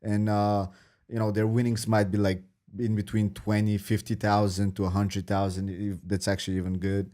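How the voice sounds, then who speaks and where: A man from Bulgaria with a fairly low voice talks sports on a podcast. His delivery is informal and slangy, like a chat among friends. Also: level tone, moderate pace, mellow. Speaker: podcast panelist. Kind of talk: casual sports discussion.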